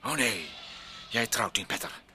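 A man's voice: on the low side, lively intonation, unhurried, sharp tone, heavily acted. A man acting in a Dutch radio play as a domineering, jealous bully with a sneering, taunting manner. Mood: scornful, taunting.

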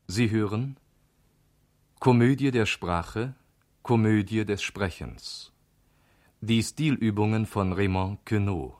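Male vintage radio announcer, deep-leaning voice, unhurried formal title reading. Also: a little mellow.

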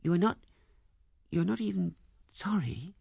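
A man voicing a gentle young wife who speaks in a soft, tentative whisper. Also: sits high, lively intonation, moderate pace, gravelly, husky, no echo, clean studio sound, pitched above the performer's own voice, heavily acted. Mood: tender.

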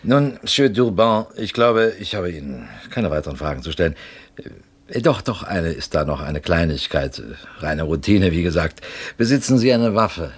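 Radio-play inspector, male, husky low voice, polite but needling;. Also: expressive. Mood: probing. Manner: polite, slow, needling questions. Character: probing middle-aged male police inspector.

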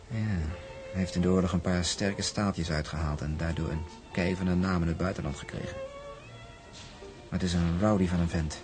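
An actor playing a confident shipping man in a drama, explaining in a measured, emphatic manner. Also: low-pitched. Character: confident shipping man briefing a woman about a rival.

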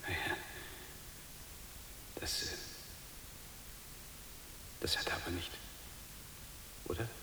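A man playing a troubled middle-aged father in a radio drama, halting and repeating himself as he clings to hope. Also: bright, forceful tone, whispered. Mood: troubled, uncertain. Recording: hissy, echoey reverberant hall.

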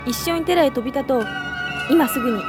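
A woman's voice: high-pitched, quick pace, natural voice. A woman playing a headstrong, impassioned young psychic boy in an amateur radio drama. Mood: urgent, determined. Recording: loud music over the voice.

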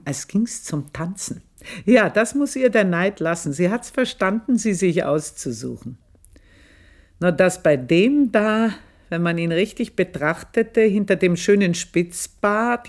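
An actress playing an aging country maidservant in a radio play, telling her story in a mocking, confiding way and often dropping to a hushed whisper. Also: deep voice, heavily acted. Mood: mocking gossip. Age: older. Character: aging country maidservant, sly, scornful, knowing.

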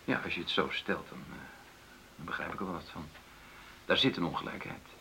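An actor playing a hesitant, placating middle-aged husband in a marital argument in a radio play. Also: male voice, low-pitched, big pitch swings, brisk, gravelly, sharp tone, a little hiss or hum, full character voice. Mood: hesitant, conciliatory. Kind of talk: naturalistic Dutch radio play dialogue.

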